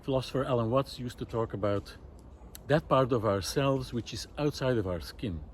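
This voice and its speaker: A man's voice: medium pitch, normal speed, a little hiss or hum. A man giving an unscripted, reflective monologue outdoors in a wooded park, speaking in a thoughtful, hesitant way. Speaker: vlogger.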